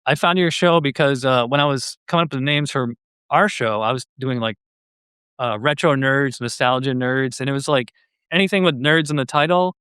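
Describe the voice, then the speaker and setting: A man's voice: medium pitch, moderate pace, fairly bright tone, clear, resonant tone, no room sound, studio quality. A man hosting a podcast in a casual, conversational style. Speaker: podcast host.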